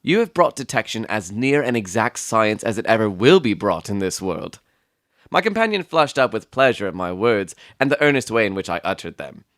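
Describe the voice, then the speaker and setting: A man playing an earnest, puzzled doctor who admires his detective friend, in an expressive dramatic audiobook reading. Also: fairly low pitch, moderate pace, dry recording, studio quality. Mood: admiring. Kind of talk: dramatic audiobook reading with first-person narration.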